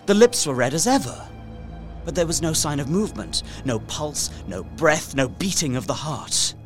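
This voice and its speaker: An actor playing a desperate, haunted young Victorian gentleman trapped in a castle, narrating his journal with intense, deliberate, formal delivery in a gothic audio drama. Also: on the high side, very animated. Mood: hushed dread. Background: music.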